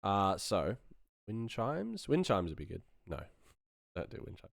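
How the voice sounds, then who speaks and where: A man's voice: fairly low pitch, big pitch swings, unhurried, gravelly, no echo. A man giving a casual live-stream tutorial as a sound designer, thinking aloud while he works.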